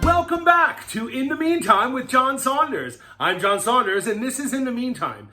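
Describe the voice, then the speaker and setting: A man's voice: extremely high pitch, expressive, moderate pace, music in the background. A middle-aged man hosting an interview show from home at a podcast microphone, jokey and self-deprecating. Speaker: host. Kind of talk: casual interview chat.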